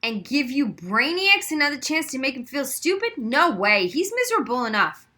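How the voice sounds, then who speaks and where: A woman's voice: sits high, sing-song, normal speed, sharp tone, voice pushed up, heavily acted. A woman voicing a sneering, indignant teenage boy, a snide school bully in a storybook reading.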